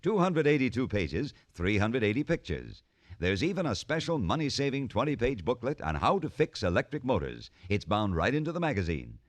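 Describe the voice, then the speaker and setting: Male announcer for old radio commercial, emphatic, animated intonation, close dry sound. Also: fairly quick, no room sound. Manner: emphatic sales pitch. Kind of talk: vintage radio advertisement read.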